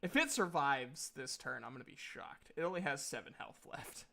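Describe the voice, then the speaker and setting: A man's voice: medium pitch, lively intonation, normal speed, gravelly, bright, forceful tone. A man casually narrating a tabletop game as the game master.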